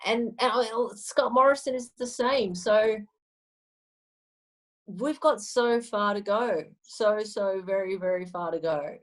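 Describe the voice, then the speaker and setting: A woman's voice: medium pitch, slow. A woman with an Australian accent, a guest speaking emphatically in a casual conversation and repeating phrases for stress.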